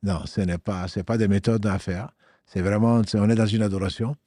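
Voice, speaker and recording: A man's voice: fairly low pitch, fairly even intonation, brisk, dark tone, no room sound, clean studio sound. A man answering as a religious teacher on a talk show. He explains rulings firmly and weaves in Arabic phrases.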